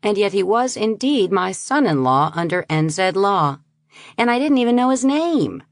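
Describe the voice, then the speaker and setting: A woman narrating a novel in the first person, in a lively, incredulous way. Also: mid-range voice, normal speed, no room sound, clean studio sound. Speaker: audiobook narrator.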